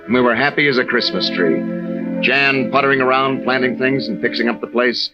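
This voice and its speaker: A man's voice: medium pitch, brisk, lightly acted. A man playing an anxious young lighthouse keeper in old-time radio style, hesitant and stammering when nervous. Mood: wistful, calm. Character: anxious young husband, new lighthouse keeper, hiding fear. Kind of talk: old-time radio acting and first-person narration. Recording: dull vintage radio recording, loud music.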